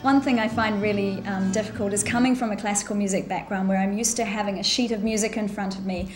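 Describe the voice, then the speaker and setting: A woman's voice: medium pitch, fairly even intonation, quick pace, music in the background. A woman with a New Zealand accent chatting enthusiastically as a musician being interviewed. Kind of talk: casual interview. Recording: echoey room.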